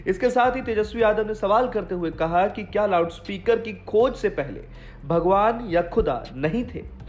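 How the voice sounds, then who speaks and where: A woman's voice: mid-range voice, expressive, normal speed, a little mellow. A woman presenting news in a scripted narration style, introducing political reports. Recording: voice-over with faint music bed.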